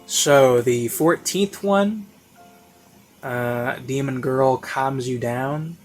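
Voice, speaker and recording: A man's voice: medium pitch, drawn-out words, darkish tone. Rambling man over classical music. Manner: rambling, informal, repeats phrases for emphasis. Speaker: video reviewer. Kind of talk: casual unscripted spoken review. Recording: classical music playing behind voice. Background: baroque classical music.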